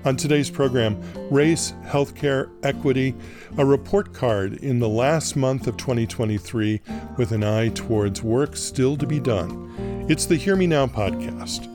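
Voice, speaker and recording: A man hosting a podcast, moving between scripted narration and interviewing with deliberate, articulate phrasing. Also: medium pitch, over music. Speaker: podcast host.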